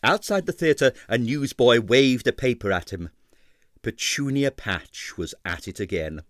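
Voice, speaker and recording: A male audiobook narrator reads comic fiction with very lively intonation. His voice is medium in pitch and recorded dry and close. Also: a little slow, no echo. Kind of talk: dramatic scripted narration of a comic novel.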